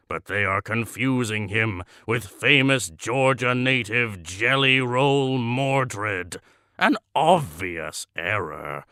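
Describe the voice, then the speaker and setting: A man performs a self-important male crank reading out his letter in a comic monologue. His voice is gravelly and forceful, unhurried and full of grandiose pauses, recorded dry and close. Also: lowish voice, dry recording, exaggerated voice. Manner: pompous, grandiose, theatrical pauses. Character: pompous, deluded male crank writing letters to a newspaper. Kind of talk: comedic monologue read as a letter.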